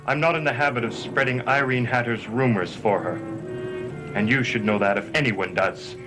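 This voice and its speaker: A man's voice: mid-range voice, moderate pace, lightly acted. An actor playing a defiant, evasive young man, sullen and sarcastic, in a gothic TV soap. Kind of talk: gothic TV soap opera acting. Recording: old TV soundtrack, very loud music.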